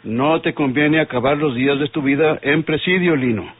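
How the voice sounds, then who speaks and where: An actor playing a deliberate, authoritative police inspector in an old radio soap. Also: male voice, fairly high pitch, breathy edge. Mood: warning. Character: firm, measured police inspector. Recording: tinny band-limited old radio drama.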